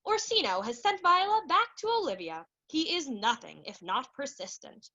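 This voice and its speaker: A woman's voice: high voice, sing-song, normal speed, pushed and bright, lo-fi sound. A woman narrating and announcing a radio play in a scripted, theatrical 1940s style.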